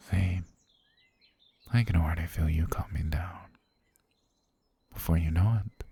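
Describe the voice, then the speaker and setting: A man voicing an obsessive, soft-spoken butler who has kidnapped his lady, talking in a hushed, half-whispered, gently menacing way for an ASMR roleplay. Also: sits low, creaky, breathy edge, heavily acted. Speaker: voice actor.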